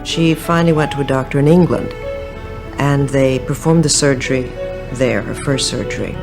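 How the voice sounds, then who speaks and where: A man calmly reminiscing about a parent in an interview, reflective and gentle. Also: mid-range voice, normal speed. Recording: music behind.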